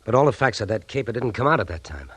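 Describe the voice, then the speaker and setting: An actor playing a worried male detective in a 1940s radio drama, talking in a quick, tense, confiding way. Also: fairly low pitch, big pitch swings, husky, no echo. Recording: old radio broadcast.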